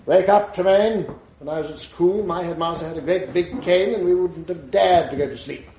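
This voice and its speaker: Through faint noise comes a brisk, middle-aged English schoolmaster in a radio drama. His voice sits a little high, with clipped, old-fashioned phrasing and a teasing, blustering authority. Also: warm tone, poor audio. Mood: mock-stern. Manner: clipped, bantering, mock-stern, old-fashioned.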